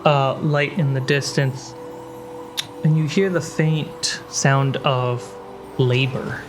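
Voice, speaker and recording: A man narrating a tabletop role-playing game as game master, describing scenes in short phrases with pauses. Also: medium pitch, steady tone. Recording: prominent music bed behind voice. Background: background music score.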